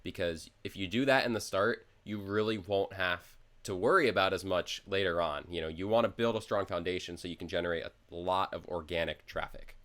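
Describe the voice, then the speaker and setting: A man's voice: low voice, fairly quick, hardly any pauses, fairly bright tone. A man hosting a casual podcast discussion, steering it with questions and talking eagerly. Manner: enthusiastic, steering the conversation with questions. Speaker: podcast host.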